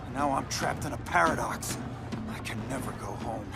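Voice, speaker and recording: A man's voice: mid-range voice, moderate pace, creaky, music in the background, lightly acted. An actor playing a bitter, taunting male speedster villain from the future in a video game cutscene. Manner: bitter, taunting, bursts into shouting. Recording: game cutscene with loud score.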